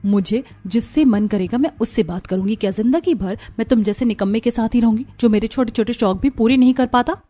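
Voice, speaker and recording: A woman playing a scornful, defiant young cartoon wife, haughty and sharp-tongued. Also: on the high side, fairly quick, hardly any pauses, natural voice. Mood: angry, defiant.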